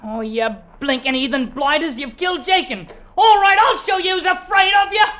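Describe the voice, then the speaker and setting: An actor playing a cocky, sharp-tongued young fife player in the army with a Cockney accent, in an old-time radio drama. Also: male voice, very high-pitched, moderate pace. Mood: defiant fury. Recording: vintage radio recording.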